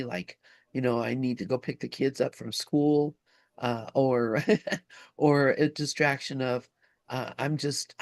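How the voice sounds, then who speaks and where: Man, mid-pitched, hesitant and repetitive, reflective casual discussion, dry sound. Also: creaky, no room sound. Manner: halting, groping for words, often repeating himself. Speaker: discussion group participant.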